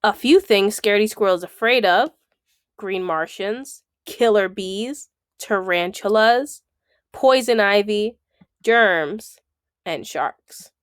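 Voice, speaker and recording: A woman's voice: mid-range voice, takes it slowly, clear, resonant tone, no room sound, studio quality. A woman reading a children's book aloud, enunciating item by item and adding explanatory asides.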